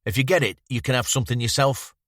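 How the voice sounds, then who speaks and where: A man's voice: medium pitch, fairly even intonation, quick pace, fairly bright tone, dry recording, studio quality, pitched above the performer's own voice, natural voice. A man reading aloud, voicing a menacing sixteen-year-old boy who is curt and swears. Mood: coaxing.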